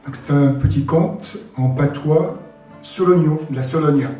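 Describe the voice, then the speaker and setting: A man with a hoarse, damaged voice telling a rural folk tale at an open stage. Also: on the high side, measured pace. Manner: lively, animated storytelling. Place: live open-mic stage in a hall. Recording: very echoey hall from far off, faint guitar.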